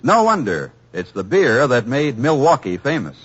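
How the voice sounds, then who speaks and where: Husky male radio pitchman, very animated intonation. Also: moderate pace, soft background music. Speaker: commercial pitchman. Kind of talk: 1950s radio advertisement narration. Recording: dull old radio broadcast recording.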